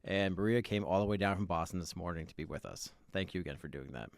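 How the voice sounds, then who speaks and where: Deep-voiced, enthusiastic male podcast host. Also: quick pace, creaky, no room sound. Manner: enthusiastic, repeats words. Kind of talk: podcast interview hosting.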